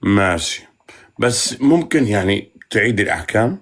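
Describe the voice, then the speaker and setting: A man's voice: fairly low pitch, big pitch swings, moderate pace. A man hosting a casual live chat in banter, teasing, bargaining and often repeating himself. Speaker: live stream host.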